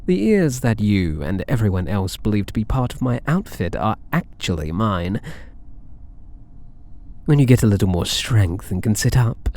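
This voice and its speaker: A man's voice: fairly low pitch, sing-song, normal speed, darkish tone. A British man voicing a possessive, smug, doting catboy cat burglar in an intimate ASMR roleplay, coaxing and teasing with theatrical endearments. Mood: playful, smug.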